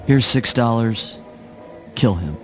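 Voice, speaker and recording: An actor playing a weary, morbid, dismissive father with deadpan theatrical delivery in a spoken word play. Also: medium pitch, slow, music in the background. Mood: weary, irritated.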